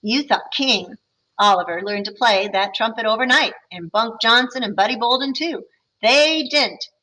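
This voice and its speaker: A woman's voice: a little high, expressive, normal speed, pushed and bright, low-quality recording. A woman reading the part of a kindly but strict male band teacher in a storybook, speaking in a patient, instructive way. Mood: earnest.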